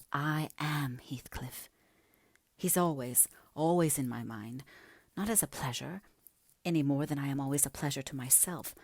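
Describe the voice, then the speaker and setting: A woman voicing a passionate, headstrong young gentlewoman in a dramatic, emphatic audiobook reading, breathy at times. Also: sits low, no echo, clean studio sound.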